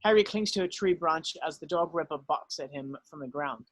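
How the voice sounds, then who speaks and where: A man's voice: a little high, a little fast, fairly bright tone. A man narrating stage directions for a script table read.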